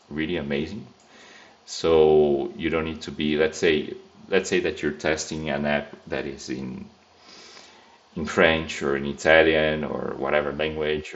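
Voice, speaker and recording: A man's voice: extremely low pitch, dull audio. A man whose first language is Brazilian Portuguese, speaking English with a Brazilian accent as he walks through a coding tutorial, talking casually and thinking aloud with many fillers and restarts. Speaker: software test engineer. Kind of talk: screen-recorded coding tutorial.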